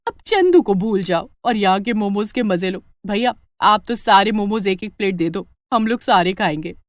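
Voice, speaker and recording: A woman as lively young village woman, eager, exclaiming, food-loving, storybook style, dry recording. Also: mid-range voice, moderate pace, dry recording, lightly acted. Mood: amazed.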